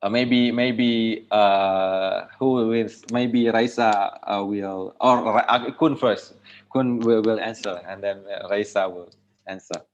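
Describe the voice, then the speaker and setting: A man's voice: lowish voice, fairly even intonation, slow. A man with an Indonesian accent hosting an online discussion, tentatively phrasing and rephrasing his questions over a dull video call line. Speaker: host.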